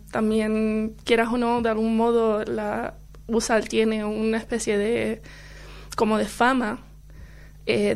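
A woman's voice: on the high side, darkish tone. A young woman from Chile, a university student, chatting as a guest on a studio radio show, laughing often between fillers. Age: young adult. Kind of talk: casual radio interview. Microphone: studio headphones and mic.

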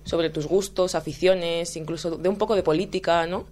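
A woman's voice: fairly low pitch, fairly even intonation, darkish tone. Young woman hosting student radio. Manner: laughing, quick asides. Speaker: radio host. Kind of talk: casual radio interview. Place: radio studio.

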